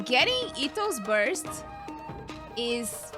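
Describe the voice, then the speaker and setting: A woman's voice: on the high side, sing-song, very slow, music in the background. A woman giving casual gameplay commentary, thinking aloud as she plays. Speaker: let's play streamer.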